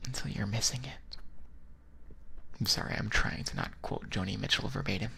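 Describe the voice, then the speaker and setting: A man playing a shy, lovestruck friend in an ASMR boyfriend roleplay, speaking in a soft, breathy whisper with a hesitant, halting manner. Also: fairly low pitch, fairly even intonation, full character voice. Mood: wistful, apologetic. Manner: hesitant, halting, gently awkward. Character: shy, lovestruck male friend confessing feelings.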